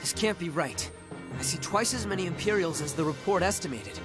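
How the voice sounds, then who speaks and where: High, lively young squad commander. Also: male voice, brisk, loud music behind the voice. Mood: concerned. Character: young male squad commander, easygoing but decisive. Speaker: video game character. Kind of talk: English game voice acting.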